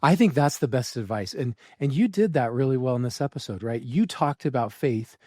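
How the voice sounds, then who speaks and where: A man's voice: medium pitch, expressive, fairly quick, darkish tone, no echo, studio quality. A man hosting a podcast interview, asking questions and encouraging his guest. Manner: affirming, encouraging. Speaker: podcast host.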